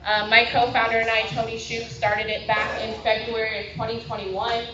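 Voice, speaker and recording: A woman giving a presentation to a meeting, loud and chatty. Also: fairly high pitch, flat delivery, normal speed, noisy background. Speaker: guest speaker. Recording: echoey muffled hall recording.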